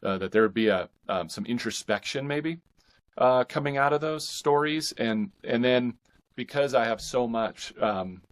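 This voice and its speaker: A man speaking as an author guest in a casual interview, with halting, thoughtful phrasing. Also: medium pitch, animated.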